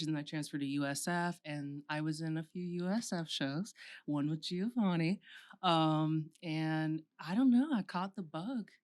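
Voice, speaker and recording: Young florida woman on a podcast, low smooth voice, laid back storyteller. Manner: relaxed, storytelling, with fillers. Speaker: podcast host and actor. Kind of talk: casual podcast chat.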